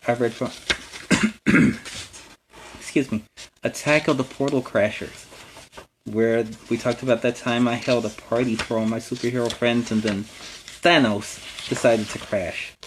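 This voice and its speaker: A man's voice: mid-range voice, unhurried, long pauses. American man hosting superhero storytime, background noise. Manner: earnest, instructive, simple explanatory phrasing. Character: friendly young male neighborhood superhero. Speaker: storytime show host in character as a superhero. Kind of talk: addressing young viewers in a livestream story time.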